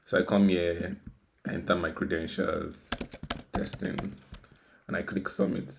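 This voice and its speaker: A man's voice: sits low, little pitch movement, unhurried, gravelly, background noise. A man giving a casual, unscripted coding walkthrough as tutorial host, rambling, self-deprecating and repeating words and phrases. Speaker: tutorial host, software developer.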